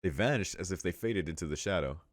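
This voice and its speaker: A man reading a visual novel aloud as a let's play host, in measured narration with casual, slangy asides. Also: deep voice, expressive, no echo, studio quality. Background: music.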